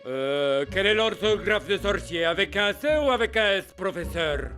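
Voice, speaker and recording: A man's voice: high voice, lively intonation, normal speed, bright, forceful tone. A man voicing a haughty, spiteful sorcerer in a French cartoon dub, pompous and theatrical, with music behind him. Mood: gloating, spiteful. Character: haughty spiteful male sorcerer dictating poison-pen letters. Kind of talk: French animated film dubbing.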